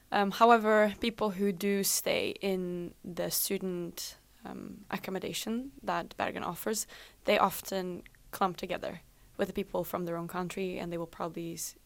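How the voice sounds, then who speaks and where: A woman's voice: fairly low pitch, gravelly. Young Flemish woman, hesitant English. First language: Dutch (Flemish). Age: young adult. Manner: hesitant, many ums, plain non-native English. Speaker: exchange student guest. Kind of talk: casual radio chat. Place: student radio studio.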